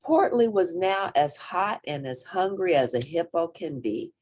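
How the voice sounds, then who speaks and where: A woman reading a children's picture book aloud as an expressive storyteller, with dramatic emphasis. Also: on the low side, measured pace.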